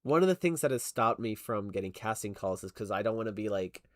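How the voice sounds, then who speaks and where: A man's voice: lowish voice, expressive, brisk, dry recording, studio quality. A young man streaming while he animates, chatting casually and rambling with many fillers and self-corrections. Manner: rambling, lots of fillers, laughs often. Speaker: stop-motion animation streamer. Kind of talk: casual livestream chat, reading chat.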